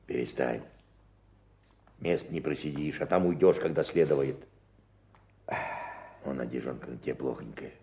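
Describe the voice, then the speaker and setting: A man's voice: very deep voice, normal speed, pauses often, dark tone, slight background noise. An actor playing a sympathetic, cautious older country man in a radio drama, muttering in folksy phrases. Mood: pitying. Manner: folksy, muttering, sighing interjections. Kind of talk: Russian radio drama acting.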